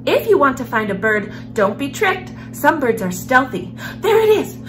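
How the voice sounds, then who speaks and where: Female children's read-aloud host, young, higher register, very animated delivery over music. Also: moderate pace. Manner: expressive, animated storyteller, with hushed passages. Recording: music behind the voice. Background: background music.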